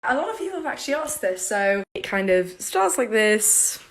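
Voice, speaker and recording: A woman's voice: on the high side, sing-song, moderate pace, a bit bright. A teenage girl from New York City with a mostly British accent, answering fan questions in a bubbly, giggly, chatty vlog from her bedroom. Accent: British with occasional American traces. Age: teens. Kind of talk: casual Q&A vlog. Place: bedroom at home. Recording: echoey room.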